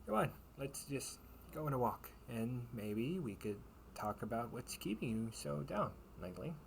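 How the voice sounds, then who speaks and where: A man's voice: mid-range voice, very animated, measured pace. A man playing a kindly, teasing knight captain in a comfort audio roleplay, speaking in courtly, old-fashioned phrases. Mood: gently concerned. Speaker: amateur audio roleplay performer. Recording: clearly noisy home recording with ambience.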